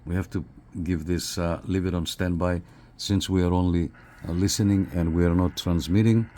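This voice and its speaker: A man with a fairly low voice talks casually through a hands-on review. He is unscripted and halting, often doubling back to repeat a phrase. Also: steady tone, dark tone, a little hiss or hum. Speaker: radio reviewer and CB operator.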